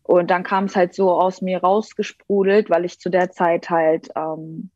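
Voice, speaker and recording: A young woman from Berlin takes part in an interview over a video call, her voice lowish and a little muffled. She answers thoughtfully and often pauses on small fillers as she searches for words. The audio is dry and dull, like lo-fi call sound. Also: flat delivery, darkish tone, dry recording. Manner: thoughtful, many ähm fillers. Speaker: rapper, interview guest. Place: joining remotely.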